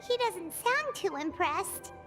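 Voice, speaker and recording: Game role: childlike fairy sidekick, piercingly high, wildly animated, squeaky, over clear music. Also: female voice, slow pace, full character voice. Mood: dismayed. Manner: squeaky, exclaiming. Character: tiny, childlike floating fairy companion, excitable.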